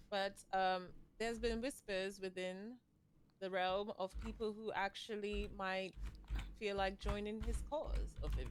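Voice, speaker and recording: Background noise and clicks sit on the mic. A measured leader of a fantasy realm's discussion played by a woman speaks at a middling female pitch. She chooses words haltingly and probes with questions in live roleplay. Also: natural voice. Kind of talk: live improvised online roleplay. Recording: clearly noisy mic with clicks.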